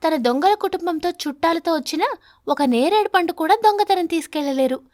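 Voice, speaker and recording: Woman voicing a high, pleading mother sparrow. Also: fairly quick, darkish tone, no room sound, clean studio sound. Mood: eager, assuring. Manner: humble, imploring, deferential. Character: poor pleading mother sparrow begging for work.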